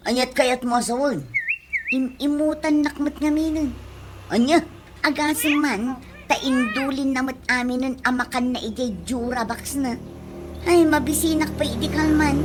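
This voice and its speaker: Actress doing nagging ilocano woman, gossipy and aggrieved, high voice, comedy radio drama w music under it. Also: moderate pace, lightly acted. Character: complaining, freeloading woman friend, gossipy and aggrieved.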